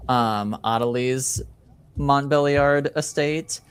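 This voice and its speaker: A man narrating a tabletop game as game master, improvising in a halting way as he searches for words. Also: mid-range voice, clean tone.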